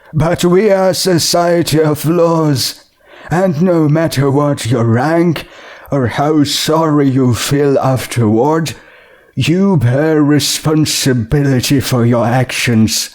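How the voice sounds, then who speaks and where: A man voicing a stately male pony judge with pompous, measured pronouncements in a storybook reading. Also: a little high, a little mellow, voice pushed up. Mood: grave, pompous.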